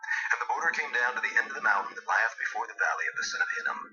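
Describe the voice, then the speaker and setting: A man narrating scripture from a script in a solemn, measured, formal manner. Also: mid-range voice. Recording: dull, lo-fi radio-like sound. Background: music.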